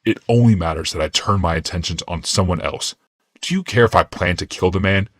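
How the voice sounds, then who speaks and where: A man voicing an arrogant, menacing warrior-healer in a fantasy audiobook, speaking with imperious, deliberate command. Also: sits low, dry recording, studio quality. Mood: cold threat.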